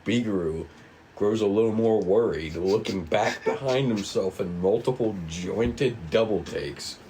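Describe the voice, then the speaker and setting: A man reading screen directions aloud at a table read, with measured, dramatic storytelling and casual asides. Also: lowish voice, warm tone, a little hiss or hum, poor audio. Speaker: writer and narrator of a table read. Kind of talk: scripted narration of screen directions.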